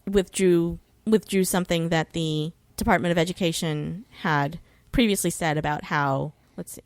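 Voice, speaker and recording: A woman's voice: on the low side, steady tone, normal speed, dry recording. A woman talking as a podcast guest, explaining things informally and hesitantly, with some swearing. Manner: informal, sweary, hesitant while recalling details. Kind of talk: casual conversational explanation of legal issues.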